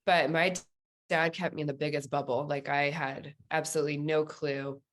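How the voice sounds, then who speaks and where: A woman's voice: sits low, level tone, moderate pace. A woman speaking as a podcast guest in a casual interview, explaining things candidly and informally. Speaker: podcast guest, police dispatcher.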